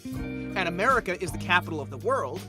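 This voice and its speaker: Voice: mid-range voice, expressive, brisk. A guest talking in character, rambling and mock-earnest, stammering through restarts. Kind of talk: comedic in-character chat as an alien conqueror. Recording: background music under voice.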